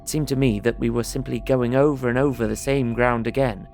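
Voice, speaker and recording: A man plays an earnest young traveller reading his diary in an audio drama. His mid-pitched voice has a gravelly creak and a measured, apprehensive delivery, over clearly audible music. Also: fairly even intonation, darkish tone, lightly acted. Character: young English traveller, earnest, uneasy diarist. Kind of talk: dramatised first-person diary narration.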